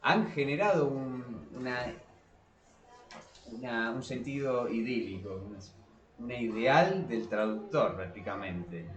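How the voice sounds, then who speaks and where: Male podcast co-host, Argentine Spanish, average pitch, halting and conversational, recorded with roomy echo. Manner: halting, repeats words as he thinks aloud. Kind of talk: casual discussion. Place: small cramped room below a staircase. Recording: roomy echo of a small room.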